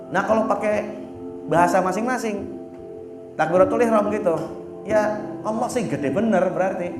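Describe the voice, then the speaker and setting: A high-voiced man gives a blunt, teasing sermon. He talks casually and keeps stopping to search for his words. The room echoes, and music plays clearly in the background. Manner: casual and blunt, teasing, fillers like "apa namanya". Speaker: preacher. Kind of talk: religious sermon.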